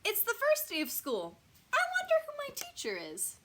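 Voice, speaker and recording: Anxious little first-day pupil for a storybook, read by a woman: confiding, melodramatic, bright, high-pitched. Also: wildly swooping pitch, normal speed, a little hiss or hum, heavily acted. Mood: dismayed. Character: nervous young schoolchild on the first day of school.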